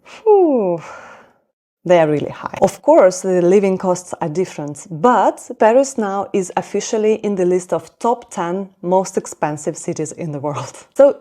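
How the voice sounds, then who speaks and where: A woman's voice: on the low side, lively intonation, normal speed, few pauses, dark tone. A woman in her thirties with a Russian accent, a native Russian speaker, giving an informal explainer talk to viewers as a career vlogger. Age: 30s.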